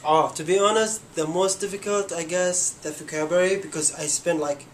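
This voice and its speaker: A man's voice: sits high, fairly even intonation, normal speed, slight background noise. A young man, answering interview questions as an international student in a candid, informal way. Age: young adult.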